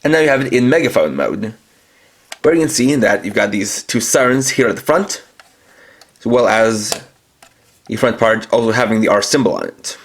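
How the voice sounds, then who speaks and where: A man's voice: lowish voice, expressive, normal speed, long pauses, breathy edge. A man reviewing toys, walking through each feature in a methodical, step-by-step way. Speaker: toy reviewer. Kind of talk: toy review demonstration.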